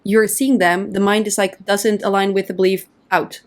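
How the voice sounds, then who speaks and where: A woman from the Netherlands, a confidence coach and podcast guest, explaining ideas in a casual way and acting out quick inner voices as examples. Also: mid-range voice, flat delivery. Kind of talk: casual interview conversation.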